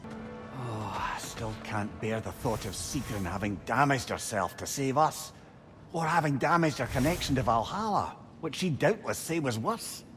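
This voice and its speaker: A man's voice: mid-range voice, animated, a little slow, music in the background, lightly acted. A man playing a genial, witty old former trickster in a video game, with a Scottish accent and a wry, talkative, old-fashioned way of speaking. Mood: worried. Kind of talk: video game dialogue, storytelling banter.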